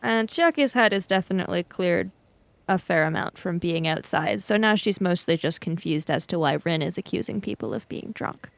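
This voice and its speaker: A woman's voice: lowish voice, normal speed, creaky, dry recording. A woman casually narrating her character's actions as a player in a tabletop role-playing game.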